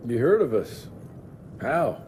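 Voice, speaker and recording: Gruff western hunter over loud noise. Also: male voice, medium pitch, very animated, slow pace. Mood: curt. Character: gruff, terse male monster hunter of the Old West. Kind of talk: video game cutscene acting.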